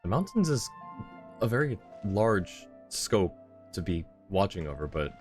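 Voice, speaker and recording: Very deep-voiced laid-back male streamer. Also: very animated, unhurried. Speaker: game streamer. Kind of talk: casual live-stream chat and read-aloud game dialogue. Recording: game music behind the voice.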